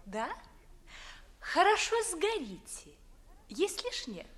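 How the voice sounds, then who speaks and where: An actress playing a cool, haughty young lady with deliberate, pointed delivery, in 1950s Russian theatrical radio verse. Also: high voice, sing-song, heavily acted. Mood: icy, pointed. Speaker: stage actor.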